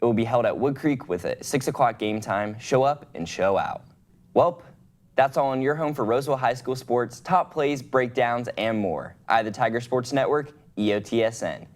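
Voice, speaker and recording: A young man anchoring the sports segment of a student news broadcast, reading an upbeat script. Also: medium pitch.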